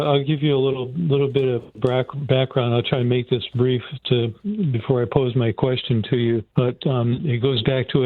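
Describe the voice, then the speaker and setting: An older man calling into a radio show, speaking earnestly and haltingly, often repeating words. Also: medium pitch, no room sound. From Seattle area, USA. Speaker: caller. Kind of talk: phone-in call. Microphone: phone. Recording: tinny phone line, dry.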